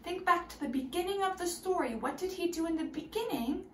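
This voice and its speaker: A woman teacher reading a picture book aloud to young children, telling the story expressively and slipping into hushed, whispered asides. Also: extremely high pitch, expressive, a little fast, a little hiss or hum.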